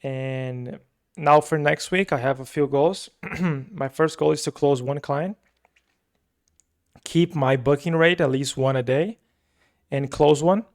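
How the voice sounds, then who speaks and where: A man's voice: on the high side, takes it slowly, long pauses. Brazilian-accented man rambling through a recap. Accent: Brazilian Portuguese. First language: Portuguese. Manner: rambling, repeats himself, many fillers. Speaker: agency owner recapping his week. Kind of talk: casual weekly recap vlog.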